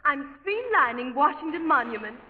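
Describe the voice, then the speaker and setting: A woman's voice: high-pitched, very animated, normal speed. A woman playing an airy, affected society lady in government in a 1930s radio comedy sketch. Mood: gushing. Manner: gushing, affected. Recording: reverberant, muffled, noisy 1930s radio recording.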